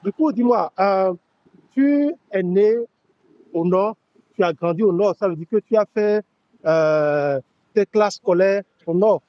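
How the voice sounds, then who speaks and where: A man hosting a casual interview, curious and friendly, often nudging with quick "ok"s. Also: high voice, no room sound, highs cut off. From Benin. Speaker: show host.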